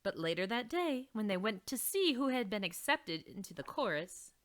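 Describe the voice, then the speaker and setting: Expressive woman reading a children's book. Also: medium pitch, normal speed. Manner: expressive, storytelling. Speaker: read-along reader. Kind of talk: children's storybook read-aloud.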